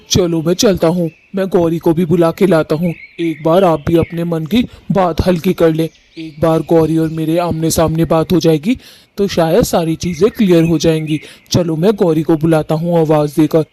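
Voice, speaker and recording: A woman acts out a young male cartoon bird, a lovestruck groom-to-be with a dark, soft-edged voice. He sounds earnest and eager, quick to plead and flatter. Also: low voice, pitched below the performer's own voice, natural voice. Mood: cheerful, flattering. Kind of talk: cartoon story voice acting.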